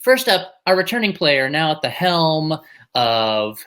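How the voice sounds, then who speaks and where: A man's voice: fairly high pitch, sing-song, unhurried, fairly bright tone. A man presenting a competition show in a scripted, theatrical, mock-formal and wordy manner.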